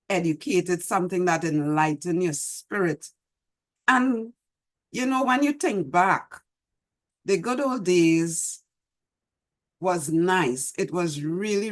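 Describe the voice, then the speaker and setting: Slow-talking Trinidadian woman reading poetry. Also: fairly low pitch. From Trinidad and Tobago. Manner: slow, gentle and reminiscing. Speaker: host. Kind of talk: reflective talk and poetry reading. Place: small room at home. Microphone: video call webcam.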